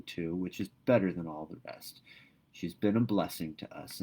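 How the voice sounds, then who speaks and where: A shy old country farmer from a storybook, acted out by a man. His voice is low and muttering. He talks in a folksy, tender, quietly proud manner. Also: low-quality recording. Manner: muttering, folksy, slow to boast.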